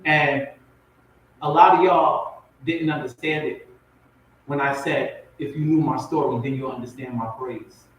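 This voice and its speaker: A man's voice: mid-range voice, fairly even intonation, measured pace. Very echoey, distant man giving personal testimony. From raised in Compton, California and Portmore, Jamaica. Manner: emphatic, repeats phrases for weight. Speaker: spoken word poet, guest on a talk show. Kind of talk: personal testimony, informal storytelling. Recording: very echoey, distant, noisy audio.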